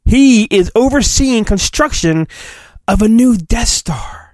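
A man's voice: high-pitched, expressive, slow, very noisy. A man hosting a podcast, doing a comedic dramatic storybook reading in a hammy way, breaking off for joking, profane asides. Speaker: podcast host.

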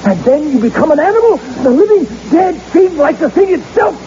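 An actor playing a stubborn, theatrical doctor who insists on reason while dread creeps in, in an old-time radio horror drama. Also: male voice, very high voice, big pitch swings, a little fast, mellow. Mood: feverish dread. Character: stubborn rationalizing male doctor, slowly unravelling into dread. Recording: muffled, noisy vintage radio recording.

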